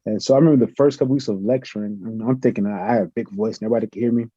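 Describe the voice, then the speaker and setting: A man's voice: lowish voice, fairly even intonation, rapid-fire, mellow, breathy edge, dry recording. A middle-aged man, a professor, answering interview questions in an earnest, reflective way with many fillers. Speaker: professor and podcast guest. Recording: muffled lo-fi audio.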